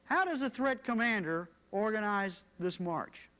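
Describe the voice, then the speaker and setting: A man narrates a military training film in a formal, measured way. His voice sits high and sounds bright and forceful. The archival soundtrack carries a long reverb tail. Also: animated.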